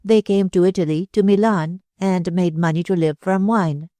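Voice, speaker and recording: A woman's voice: lowish voice, steady tone, normal speed, dark tone, no echo, close to an everyday voice. A woman voicing a fiery, jealous Spanish dancer who speaks broken English with a Spanish accent and a tempestuous, dramatic manner. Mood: passionate.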